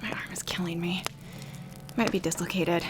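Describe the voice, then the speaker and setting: A woman's voice: lowish voice, flat delivery, normal speed, background noise, lightly acted. A woman acting as an injured, shaken but casual Marine in an audio drama. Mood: pained.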